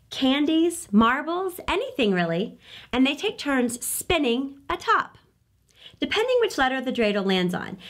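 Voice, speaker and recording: A middle-aged woman hosting a children's read-aloud, speaking expressively and emphatically with dramatic pauses. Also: a little high. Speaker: children's storytime host.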